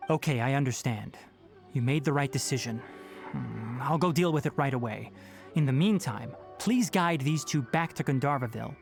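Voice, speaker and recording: An actor playing a calm, knowledgeable young forest ranger in a video game, speaking in a measured, instructive way with authority. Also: male voice, mid-range voice, creaky, over music. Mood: concerned, decisive. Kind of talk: English video game voice acting.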